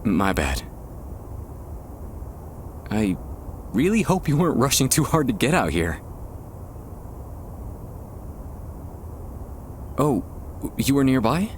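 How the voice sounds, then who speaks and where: A man playing a nervous, tender young man, a childhood best friend, in an intimate ASMR roleplay, speaking haltingly with pauses over soft outdoor ambience. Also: medium pitch, sing-song. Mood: apologetic, flustered. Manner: hesitant, halting, trailing pauses. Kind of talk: ASMR boyfriend roleplay, intimate acted monologue. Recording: faint background ambience noise. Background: soft outdoor park ambience.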